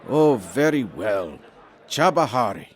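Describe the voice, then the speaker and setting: Audio drama: exasperated male official, pompous, theatrical, hugely animated intonation. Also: mid-range voice, slow pace, over music, a crowd in the background. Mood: weary, relenting. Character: fussy, exasperated male colonial magistrate.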